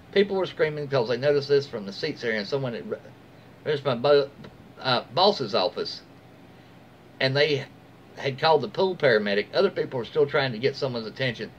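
American man reading aloud, dull lo-fi sound. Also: mid-range voice. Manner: stumbles over words and corrects himself. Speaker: storyteller reading online posts aloud. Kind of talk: reading a story aloud, informal. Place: small room at home, indoors. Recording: dull lo-fi mic, faint background noise.

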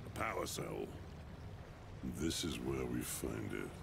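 A man's voice: very deep voice, expressive, slow pace, vocal fry, heavy background noise, full character voice. An actor playing a cocky, awkward, lecherous big scavenger man in video game cutscenes, hesitant and jokey. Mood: businesslike. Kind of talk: animated game cutscene dialogue.

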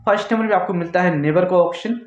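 Man in his thirties, fairly high voice, tutorial host, repetitive instructions, roomy echo. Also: quick pace. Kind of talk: step-by-step phone tutorial to camera. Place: small indoor office, seated. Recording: echoey room, dull muffled sound.

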